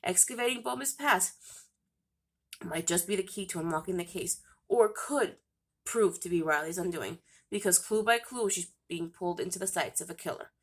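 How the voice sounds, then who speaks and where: A woman's voice: on the low side, moderate pace. A woman hosting a book channel, sounding nasal from a cold, reading book descriptions aloud with stumbles and self-corrections.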